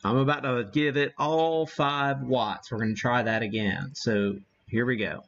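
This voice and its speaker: A man hosting a casual, unscripted live radio-hobby demo, thinking aloud in a halting way as he works the equipment. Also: medium pitch, steady tone, creaky, a bit bright, lo-fi sound. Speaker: livestream host, amateur radio operator.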